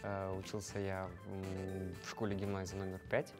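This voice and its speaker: Young guy from kazakhstan joking around in a studio, lowish voice, soft music behind. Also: normal speed. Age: young adult. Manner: jokey, animated, laughs at himself. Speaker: show participant. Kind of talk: casual interview. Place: studio with grey backdrop. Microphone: lapel.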